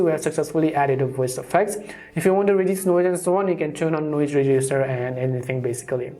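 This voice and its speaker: A man's voice: on the high side, darkish tone. A man hosting a tutorial channel, narrating instructions step by step in a scripted how-to style.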